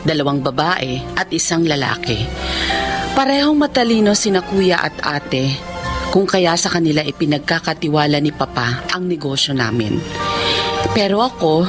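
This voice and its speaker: An actress playing a meek, troubled young wife in a Filipino radio drama, with a Filipino accent. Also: female voice, low-pitched, expressive, normal speed, over music. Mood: sad, reflective.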